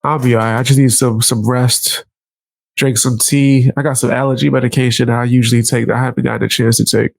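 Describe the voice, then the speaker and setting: A stuffy-nosed man with a New York accent riffs with his live chat, his voice nasal and gravelly with fry. He jumps up high when he jokes. The sound is close and dry, with no room around it. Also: level tone, a little fast, dry recording. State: congested, stuffy nose. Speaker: commentary streamer. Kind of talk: casual reaction commentary.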